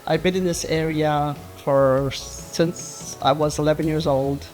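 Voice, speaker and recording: A woman's voice: deep voice, steady tone, measured pace, a little mellow, soft background music. A woman from Italy, chatting about old times in an interview. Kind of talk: interview recollection.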